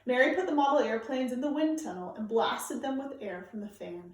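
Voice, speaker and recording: A woman reading aloud to children like a teacher, with clear, deliberate, emphatic phrasing. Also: a little high, lively intonation. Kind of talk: read-aloud storytelling for children, informal introduction. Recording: very echoey room, distant microphone.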